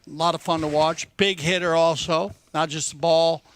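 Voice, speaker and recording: A man's voice: a little high, fairly even intonation, moderate pace, a bit bright. A man giving enthusiastic live commentary on a polo match. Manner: enthusiastic, chatty.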